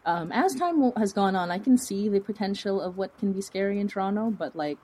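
A woman talking casually as an interview guest, in an informal, animated, rambling way with a giggly streak. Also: mid-range voice, fairly quick. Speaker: podcast creator and writer being interviewed.

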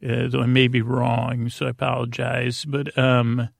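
A man with a rusty, gravelly voice hosting a bedtime podcast, meandering absent-mindedly through halting tangents and often interrupting himself. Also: mid-range voice, flat delivery, no echo. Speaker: podcast host. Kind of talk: rambling bedtime monologue.

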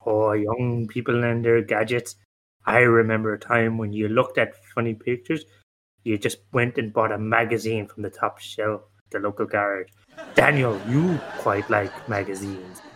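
A man's voice: lowish voice, fairly even intonation, normal speed, fairly bright tone, poor audio. A man playing an exasperated, cantankerous older police captain in an improvised comedy radio play, scolding and blustering. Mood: folksy, rambling. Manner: scolding, blustering, mock-outraged. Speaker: podcast panellist.

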